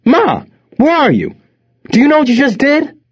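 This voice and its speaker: A man voicing an angry, panicked teenage son in an audiobook, loud, strained and indignant. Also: very high voice, wildly swooping pitch, moderate pace, voice pushed up, heavily acted. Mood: furious. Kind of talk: audiobook character voice. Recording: dull, band-limited audio.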